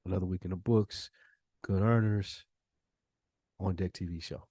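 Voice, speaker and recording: American man, podcast co-host, deeper register, dry sound, informal casual chat. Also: steady tone, moderate pace, warm tone, breathy edge, no room sound. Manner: informal, slangy.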